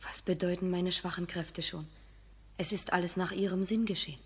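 An actress playing a shy, gentle, melancholy young woman with a soft, breathy voice and restrained, earnest delivery in a 1970s radio drama. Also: lowish voice, steady tone, moderate pace. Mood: shy, wistful.

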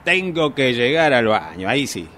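A man's voice: medium pitch, very animated, moderate pace, fairly bright tone, slight background noise. A man with an Argentine Rioplatense accent playing a grumbling, tipsy husband in a radio drama, muttering exasperated complaints to himself. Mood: grumbling, secretive. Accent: Argentine Rioplatense Spanish. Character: grumbling tipsy husband stumbling home at night.

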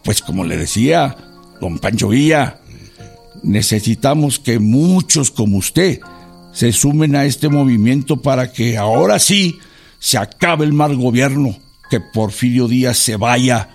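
A man's voice: medium pitch, expressive, a little slow, gravelly, soft background music. A man playing an earnest, educated Mexican businessman turned revolutionary in a radio drama, polite, hesitant and persuasive.

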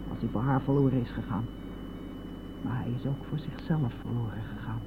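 A woman's voice: very deep voice, fairly quick. An actress playing an anguished, stubborn elderly mother in a radio play, insistent and aggrieved, at times sinking to a near-whisper. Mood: bitter, aggrieved. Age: older. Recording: noisy phone-like old radio play recording.

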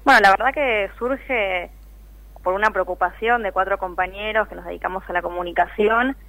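A woman talks as a phone guest on a radio show. Her voice has a marked creak and sounds very dry and close, in an easy conversational style. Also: mid-range voice, moderate pace, sharp tone, no echo, poor audio. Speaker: interview guest, activist communicator. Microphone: telephone.

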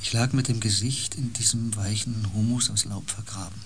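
A haunted, fearful space explorer speaks in a lowish voice. The delivery is brooding and breathy, full of intensity, in radio play style over faint ambient noise. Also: male voice, steady tone, moderate pace. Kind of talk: German science fiction radio play acting.

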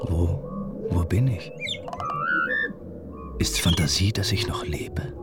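A bewildered young prince in a radio drama. He is slow, hesitant and fearful in a fairly low voice, with loud music around him. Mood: dazed, uneasy. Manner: hesitant, theatrical.

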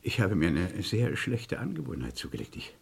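An actor playing a weary, evasive man under suspicion in a German radio crime play, hesitant and polite, with a husky voice. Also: deep voice, huge pitch swings, normal speed, heavily acted. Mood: guarded, defensive. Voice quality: husky, gravelly. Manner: hesitant, polite, repeats words.

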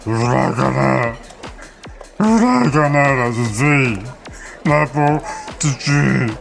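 An actor playing a maudlin drunken barfly in a science-fiction audio drama, rambling and repeating himself in a slur. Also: male voice, mid-range voice, animated, takes it slowly, gravelly, faint music behind. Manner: slurred, repetitive rambling.

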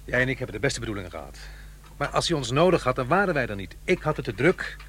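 A man's voice: medium pitch, sing-song, fast, fairly bright tone, a little hiss or hum. A man playing a troubled, brooding divorced father in a radio drama, earnest and hesitant. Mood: worried, pleading. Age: middle-aged.

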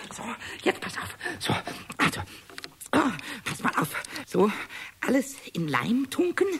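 A cheeky little kobold with a squeaky, very high voice that leaps all over the place. The creature is childlike, clumsy and excitable, stammering and repeating words, in the manner of a children's radio play. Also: male voice, a little hiss or hum, full character voice.

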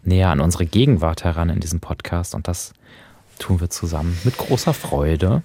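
A man's voice: deep voice, moderate pace, warm tone, no echo. A man co-hosting a podcast, explaining radio drama in a conversational, scholarly way. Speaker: podcast co-host.

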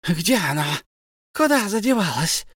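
A miserable, hissing cave creature, whining in a thin, strained voice that sits far above his normal register. He drags out his words slowly and sounds greedy and suspicious. The recording is dry and close, with no room around it. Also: high-pitched, sing-song, a bit bright, no room sound, pitched above the performer's own voice, full character voice. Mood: wailing. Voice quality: hissing, whiny.

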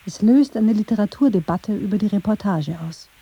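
A woman narrating a radio documentary from a script in a measured storytelling style. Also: medium pitch, lively intonation, dark tone, husky.

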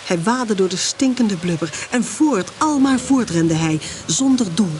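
Radio drama narrator: raised high pitch, urgent storytelling, clear background noise. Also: male voice, animated, unhurried, a little mellow. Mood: dramatic. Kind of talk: scripted narration.

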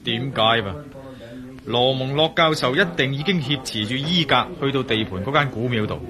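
An actor playing a level-headed, practical adventurer hero in a Cantonese radio drama, firm and decisive. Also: male voice, mid-range voice, moderate pace, natural voice. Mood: serious, practical. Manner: sober, chiding, decisive. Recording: crowd chatter behind voice.

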